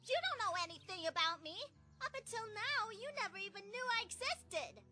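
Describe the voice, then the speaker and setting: A woman's voice: very high voice, sing-song, brisk, music in the background. A woman playing a frustrated, pouting young pony filly in a cartoon fan audio drama. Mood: angry. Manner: indignant, pouting, exclaiming.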